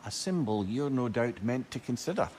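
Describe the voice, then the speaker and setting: An actor playing a wise, measured companion in a video game, giving thoughtful, articulate counsel to a warrior. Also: male voice, mid-range voice, animated, a little hiss or hum, close to an everyday voice. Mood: thoughtful, counselling. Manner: thoughtful, articulate, gently advising. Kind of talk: dramatic video game acting.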